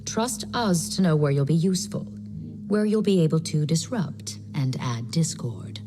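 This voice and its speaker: A cryptic, calm leader of agents of chaos speaks low and measured, often dropping to a whisper. Her voice rings in a long reverb. Game music plays clearly behind her. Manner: soft, measured, slips into whispers.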